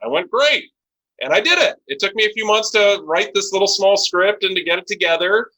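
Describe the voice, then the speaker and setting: An American man in his forties speaks with a very bright, forceful voice pitched a little high for a man. He is a business owner being interviewed. He talks in a conversational, storytelling way. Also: lively intonation, fast talker, rough recording. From United States (Chicago and Los Angeles). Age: 40s.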